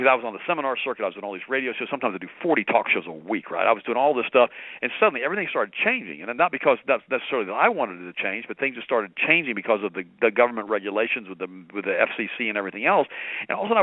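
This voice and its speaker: A quick-talking man in his fifties, native German speaker, tells a long story in an earnest, rambling way. He comes through a dry, tinny phone line. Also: mid-range voice, dry recording. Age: 50s. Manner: rambling, repeats words, earnest. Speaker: guest. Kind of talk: personal story on a talk radio show.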